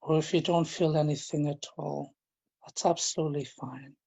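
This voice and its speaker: A man leading a guided relaxation, speaking in a gentle, informal and halting way, often repeating his phrases. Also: a little high, fairly even intonation, vocal fry, highs cut off. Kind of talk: guided relaxation, meditation instruction.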